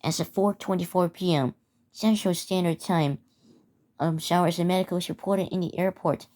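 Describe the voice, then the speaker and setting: A woman reading a scripted emergency announcement in a halting way, stumbling and repeating phrases. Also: fairly low pitch, steady tone, dark tone. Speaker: narrator of a hobby emergency alert scenario.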